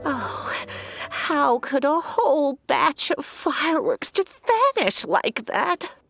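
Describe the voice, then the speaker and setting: Anxious old village granny, slow and lilting. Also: female voice, sits high, expressive, creaky, faint music behind, exaggerated voice. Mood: surprised, respectful. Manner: halting, gently fretful, respectful. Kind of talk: video game voice acting.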